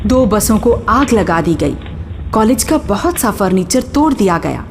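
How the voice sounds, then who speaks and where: Animated female narrator in long reverb. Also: mid-range voice, measured pace, a little hiss or hum. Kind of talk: dramatic scripted narration. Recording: long reverb with music behind. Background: music.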